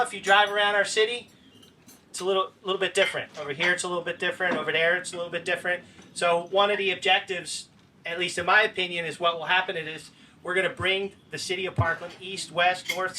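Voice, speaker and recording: A man with a high, bright voice answers as a panelist in a halting way, often restarting his sentences. He sounds set back from the microphone. A faint wash of noise sits behind him. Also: steady tone. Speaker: city mayor on a panel. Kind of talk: panel answer, casual speech. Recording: distant mic, faint background noise.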